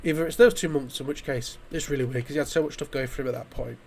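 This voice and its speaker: A man from the UK, hoarse from a chest infection, chatting casually while playing a game, rambling and swearing with frequent restarts. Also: medium pitch, quick pace, a little mellow. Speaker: gaming YouTuber.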